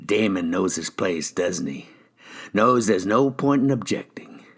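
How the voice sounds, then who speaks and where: A gruff, cigar-chomping detective, acted by a male reader, speaks in a low register. He taunts and needles like someone sure he has his suspect cornered, in crime audiobook dialogue. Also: animated, measured pace, full character voice. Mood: sneering.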